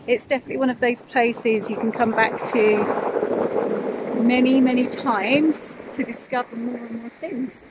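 A woman's voice: high-pitched, noisy background. A woman, chatting casually in a travel vlog, filming herself on a phone at arm's length. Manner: chatty, enthusiastic, many ums. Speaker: vlogger. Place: outdoors by the sea, later a home kitchen. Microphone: phone held at arm's length.

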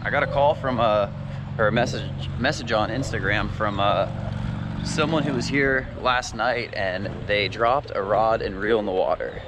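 A young man hosting a casual fishing vlog, talking excitedly to an action camera at a beach jetty. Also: fairly low pitch, moderate pace. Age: young adult. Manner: excited, talks to camera while walking and wading. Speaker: fishing vlogger. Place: outdoors at a beach jetty, wind and surf. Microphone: action camera, often held close or head-mounted. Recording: outdoor wind and water noise.

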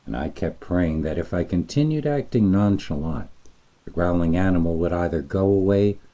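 Male horror narrator and host, low register, theatrical and deliberate storytelling. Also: expressive, dark tone. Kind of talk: scripted scary story narration.

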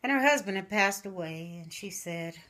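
An older woman, a grandmother, telling a folksy story at a slow, animated pace in a living room, recorded by the camera. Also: mid-range voice.

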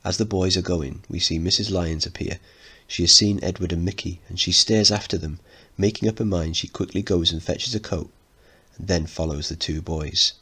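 A man's voice: low-pitched, moderate pace, bright, forceful tone, breathy edge. A man teaching informally, narrating a play and explaining it as he goes. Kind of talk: dramatic reading with lesson commentary.

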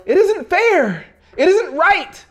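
A man's voice: very high voice, big pitch swings, takes it slowly, soft background music, pitched above the performer's own voice, heavily acted. A man voicing a desperate, protesting small-town housewife, screaming shrilly in an audiobook.

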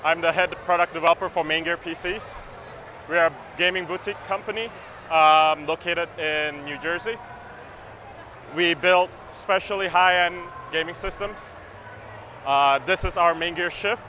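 An earnest man in his thirties walks a visitor through his company's product at a trade show, repeating words as he explains. His voice sits fairly high and sounds bright. A faint hum of the busy hall sits behind him. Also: moderate pace. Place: busy expo hall floor, indoors. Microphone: camera mic, handheld close.

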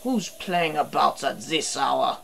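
Man voicing a timid little steam engine, high and earnest. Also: slow, vocal fry, bright, forceful tone, slight background noise, heavily acted. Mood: weary, grumbling. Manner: hesitant and earnest. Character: timid, anxious, kind-hearted male steam engine. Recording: music behind the voice.

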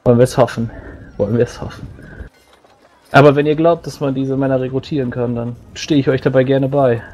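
A man's voice: mid-range voice, steady tone, a little fast, darkish tone, natural voice. A man playing a battle-worn, haunted mercenary veteran in a tabletop roleplay, hesitant and wryly modest. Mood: somber.

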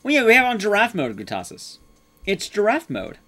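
Animated, high-pitched man streaming a game. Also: fairly quick. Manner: casual, joking, swears mildly. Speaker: streamer and speedrunner. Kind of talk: live game commentary, chatting with viewers. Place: small indoor room at home, streaming.

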